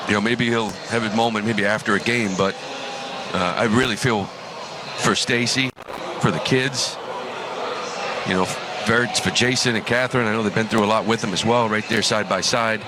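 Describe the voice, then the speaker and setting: A man, a former player turned broadcaster, speaking in a halting, reflective way with many fillers. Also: on the low side, fairly even intonation. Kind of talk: emotional on-air remembrance. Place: ballpark broadcast booth. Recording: broadcast booth with clear ballpark noise.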